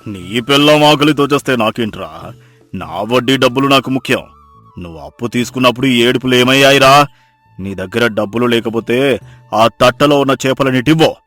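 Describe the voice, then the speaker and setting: A man's voice: medium pitch, fairly quick, fairly bright tone, dry recording, close to an everyday voice. Guy doing a cruel arrogant moneylender for a village moral story, bullying and mocking, faint music under it. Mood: callous, bullying. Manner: sneering, threatening, insulting. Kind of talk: dramatised moral story acting.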